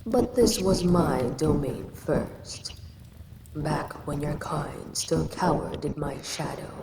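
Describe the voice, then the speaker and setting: A woman's voice: deep voice, lively intonation, slow pace, full character voice. A woman voicing an ancient giant female kaiju queen who speaks down to a tiny human she calls a pest. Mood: brooding. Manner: condescending, calls the listener pest. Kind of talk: audio roleplay acting. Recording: echoey reverb with ambient noise.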